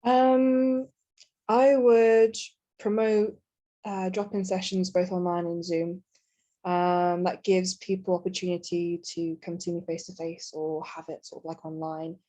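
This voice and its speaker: A young woman standing as a student candidate, answering on a video call in a halting way with many ums. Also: fairly low pitch, flat delivery, mellow. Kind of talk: answering a hustings question.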